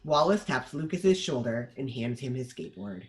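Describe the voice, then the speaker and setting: A woman's voice: rumbling low, lively intonation, moderate pace. A woman narrator reading the stage directions of a screenplay in scripted narration.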